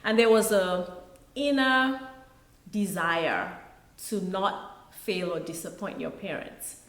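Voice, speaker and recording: A middle-aged woman from Nigeria, an author and pharmacist, telling her life story as an interview guest in a relaxed, reflective way. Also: medium pitch, takes it slowly. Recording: echoey room.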